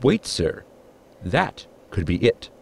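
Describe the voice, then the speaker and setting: Gravelly, pedantic starship officer, acted by a man. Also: fairly low pitch, big pitch swings, slow pace, a little hiss or hum, exaggerated voice. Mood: eager, formal. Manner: formal, technical, precise wording. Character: pedantic, formal male science officer on a starship. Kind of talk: sci-fi sitcom audio drama acting.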